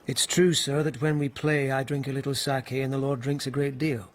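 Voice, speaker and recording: An actor playing a weary but dogged police lieutenant in a radio period mystery, speaking hesitantly and with earnest respect. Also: male voice, mid-range voice, flat delivery, normal speed, natural voice. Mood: deferential, uneasy.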